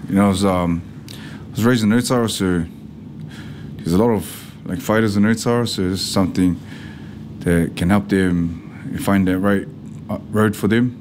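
A man, speaking a little low and feeling his way through each answer with fillers and restarts. Clear background noise runs under his voice. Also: a little mellow. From Samoa. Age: 30s. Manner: halting, with many fillers and restarts. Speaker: pro fighter. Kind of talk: documentary interview. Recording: noisy background ambience.

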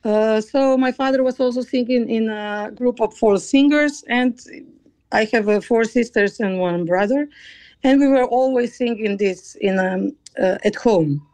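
A woman from Slovenia with a Slovenian accent, a choral conductor answering interview questions in a halting way, often searching for words. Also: fairly high pitch, a little mellow, no room sound. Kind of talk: interview conversation.

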